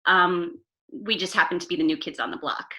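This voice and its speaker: A woman's voice: fairly low pitch, fairly quick, pushed and bright. A woman guest in an interview, an arts advocate who speaks earnestly with many "um" fillers and restarts. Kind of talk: casual interview chat.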